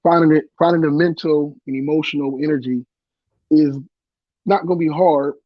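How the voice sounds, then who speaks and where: A man's voice: fairly high pitch, fairly even intonation, normal speed, no echo, dull audio. A man co-hosting a casual podcast, a bit congested from a cold, with a playful, teasing way of talking. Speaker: podcast co-host. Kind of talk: casual chat.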